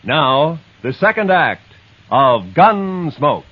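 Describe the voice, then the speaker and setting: A man's voice: a little high, sing-song, takes it slowly, over music. An American man announcing on vintage network radio in a polished, emphatic promotional style. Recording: dull vintage radio broadcast recording.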